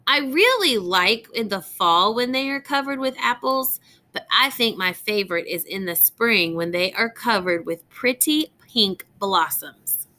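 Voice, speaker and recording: A woman reading a picture book aloud as a teacher would, phrasing it deliberately for young children and addressing them directly. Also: medium pitch, expressive, sharp tone.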